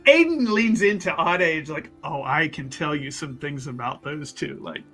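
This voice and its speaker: A woman's voice: deep voice, lively intonation, moderate pace, a bit bright, faint music behind. A woman playing a tabletop roleplaying game, teasing and playful, narrating her character and joking with the others. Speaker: player.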